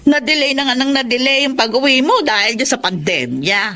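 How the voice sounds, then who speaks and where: A woman's voice: sits high, animated, fairly quick, bright, forceful tone, exaggerated voice. A Filipino woman playing a doting, chatty older mother in a radio drama. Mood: delighted.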